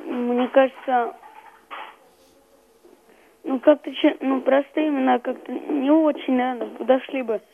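A man's voice: extremely high pitch, fairly even intonation, unhurried, creaky, pauses often, slight background noise. A thirteen-year-old boy giving casual, hesitant answers as a guest in an interview. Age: 13 years old. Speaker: young playwright guest on radio. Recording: muffled narrow-band phone call.

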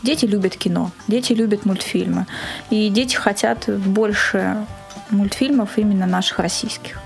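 A woman's voice: mid-range voice, steady tone, normal speed, vocal fry, faint music behind. A woman being interviewed as an event organiser, talking at length in a casual way and rephrasing for emphasis.

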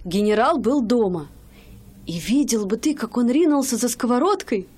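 Actress playing a young wife, loving, stubborn, chatty, higher voice, radio drama. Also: female voice, expressive, moderate pace, a little mellow, husky. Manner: chatty, pleading, sometimes hesitant. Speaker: radio play actor.

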